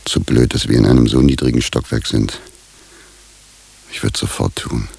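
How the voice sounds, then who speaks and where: A self-pitying, scheming married professor in a radio comedy. His voice is extremely low and full of gravel, rough and husky, and he laments his lot with anxious melodrama. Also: steady tone, normal speed, a little mellow, exaggerated voice. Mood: gloomy despair. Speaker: radio drama actor. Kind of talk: radio comedy drama acting.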